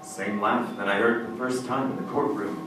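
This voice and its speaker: A stern, unbending male judge played by an actor speaks in formal radio-drama style. The recording is heavily echoing and distant, with clear noise throughout. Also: on the low side, fairly even intonation, normal speed, close to an everyday voice. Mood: haunted. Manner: formal, declamatory. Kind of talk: old-time radio drama acting and narration. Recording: very echoey, distant, noisy stage recording.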